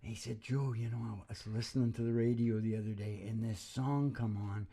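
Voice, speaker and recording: A man's voice: mid-range voice, fairly even intonation, a little fast, vocal fry. A middle-aged man, a country musician, chatting casually in a rambling, folksy way during a rehearsal. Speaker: country singer and guitarist. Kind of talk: casual chat and singing at a rehearsal. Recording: loud acoustic guitar close behind voice. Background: acoustic guitar playing.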